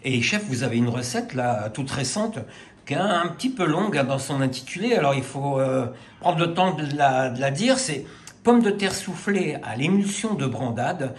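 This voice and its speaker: Chatty interviewer in an echoey room. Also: male voice, mid-range voice, lively intonation, normal speed. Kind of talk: interview questions. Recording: roomy echo.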